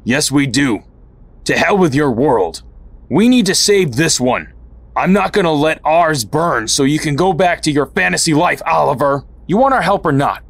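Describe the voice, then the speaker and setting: Man playing higher-pitched hostile rebel fighter. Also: lively intonation, normal speed, vocal fry, no room sound. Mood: angry, distrustful. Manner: confrontational, sarcastic. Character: hostile, distrustful hardened male resistance fighter. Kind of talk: audio drama acting.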